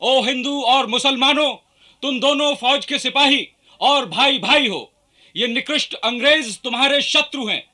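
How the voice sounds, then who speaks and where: An actor playing a fiery revolutionary leader in a radio play, delivering impassioned, declamatory oratory to rouse soldiers to revolt. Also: male voice, very high voice, bright, forceful tone, exaggerated voice.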